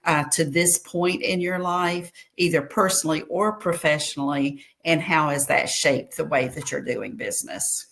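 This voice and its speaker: A woman hosting a podcast interview, chatty and friendly with quick asides. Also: low voice, vocal fry, fairly bright tone. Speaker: podcast host. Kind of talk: interview chat.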